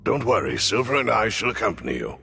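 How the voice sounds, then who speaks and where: Game role: courtly manservant, gravelly and bright-edged, animated pitch, always deferential, music underneath. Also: male voice, fast, full character voice. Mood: reassuring. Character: genteel, devoted male butler, courtly and reassuring. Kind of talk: scripted video game voice acting.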